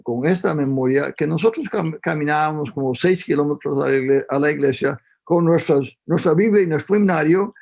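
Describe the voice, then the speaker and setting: A man from Costa Rica talks as a panel guest with a gravelly, somewhat high voice. He reminisces slowly in a reflective discussion and often restarts his phrases. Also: a little mellow, lo-fi sound.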